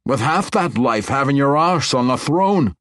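A blunt old dwarf king, put on by a male reader, speaking in a raised, hearty brogue with lively rises and falls. He presses with pointed questions, gruff but fatherly, in a dry, close storybook reading. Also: mid-range voice, measured pace, dry recording, voice pushed up.

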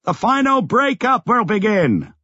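A man's voice: a little high, sing-song, slow, a bit bright, husky, dry recording, dull audio, voice pushed up, full character voice. A man voicing an old sage, precise and emphatic, in an audiobook. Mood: urgent, explaining. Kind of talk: audiobook character acting.

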